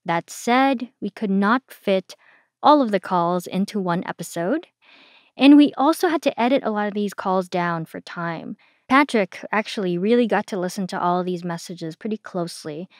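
A woman hosts a podcast in a friendly, conversational way. Her voice sits at a middle pitch. The recording is dry and close, with no room sound. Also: moderate pace, dry recording. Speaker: podcast host. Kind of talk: scripted podcast hosting.

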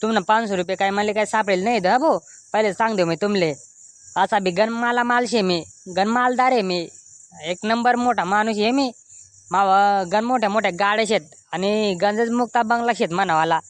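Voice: medium pitch, moderate pace, dry recording, lightly acted. A performer voicing a boastful, defensive village man in a comedy cartoon, with a Khandeshi Ahirani accent.

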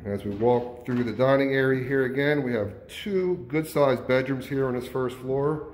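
A man's voice: medium pitch, normal speed. Man giving a tour of empty rooms. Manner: relaxed, informal, with small self-corrections. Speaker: real estate agent giving a home tour. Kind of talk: casual walkthrough narration. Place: empty house, bare rooms. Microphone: handheld phone camera. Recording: echoey empty rooms, faint background noise.

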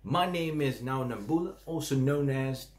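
A man's voice: mid-range voice, normal speed. Chatty Zambian man teaching home cooking. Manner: chatty and encouraging, many fillers and questioning "mm?" tags. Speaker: cooking channel host. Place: small home kitchen, indoors.

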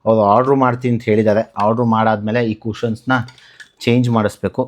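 A man's voice: lowish voice, flat delivery, fast talker. A man from Karnataka, India, talking casually as a vlogger through a hands-on tutorial, explaining by posing questions and answering them. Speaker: motovlogger, channel host.

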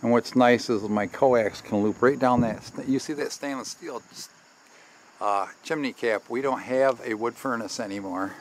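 Middle-aged male DIY host, folksy and unscripted, mid-pitched, with faint outdoor-style noise behind him. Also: normal speed, rough recording. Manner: folksy, thinking aloud as he works. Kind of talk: casual hands-on how-to explanation. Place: outdoors by a house and in a barn workshop.

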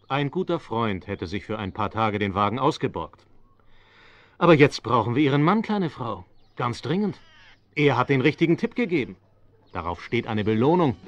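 Actor as a calm, patronising policeman, muffled recording. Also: medium pitch, lightly acted. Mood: brisk. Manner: official, measured, soothing. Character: broad-shouldered male policeman, calm and patronising. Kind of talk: 1970s German radio play acting. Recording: dull vintage audio-drama recording.

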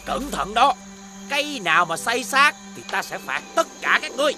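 A man voicing a haughty, greedy rich lord in an animated fairy tale, pompous and bossy. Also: extremely high pitch, moderate pace. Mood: impatient, haughty. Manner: pompous, commanding. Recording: loud music behind the voice.

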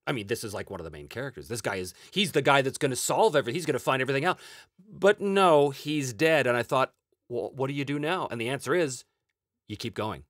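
A man's voice: mid-range voice, expressive, quick pace, no echo, studio quality. A Canadian man in his forties, a host answering viewer questions in a rambling, chuckling casual chat from a small home room. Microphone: headset-style, webcam framing.

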